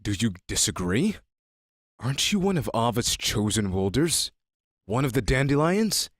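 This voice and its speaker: A man's voice: mid-range voice, very animated, moderate pace, no echo, clean studio sound. A man playing a stern, grave faction leader in an anime game fandub. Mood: stern, imposing. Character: stern male faction leader preaching strength and victory.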